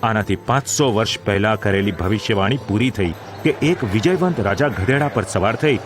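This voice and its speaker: A man's voice: fairly low pitch, animated, normal speed, over music. A man reading scripted narration for a Bible audio drama.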